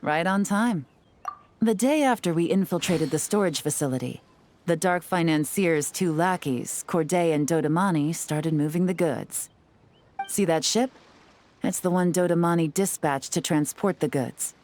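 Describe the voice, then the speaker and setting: A woman playing a cool, composed female secret agent who speaks in a calm, measured, quietly confident way, in a video game cutscene. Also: on the low side, slight background noise, natural voice.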